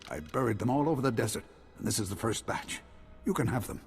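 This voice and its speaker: An actor playing an anxious, proud old hermit farmer and former guard in a video game, hesitant and halting. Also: male voice, medium pitch, expressive, vocal fry, husky, full character voice. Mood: urgent. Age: older. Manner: hesitant, halting, repeating himself. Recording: long hall reverb, music behind.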